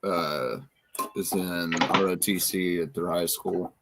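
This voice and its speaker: A man's voice: deep voice, steady tone, slow, heavy background noise. A man from North Carolina, a guest on a livestream, chatting in a friendly way. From Raleigh, North Carolina. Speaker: stream guest. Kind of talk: casual chat.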